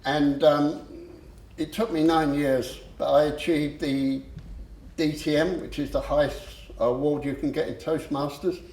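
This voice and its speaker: A man with a stammer giving a personal talk, slow and halting with frequent pauses and fillers. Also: on the high side, fairly even intonation, darkish tone. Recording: echoey room.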